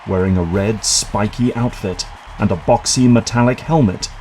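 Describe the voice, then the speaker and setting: A man reading scripted dramatic narration for an audio drama in a measured, suspenseful manner. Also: lowish voice, a little mellow, slight background noise, low-quality recording.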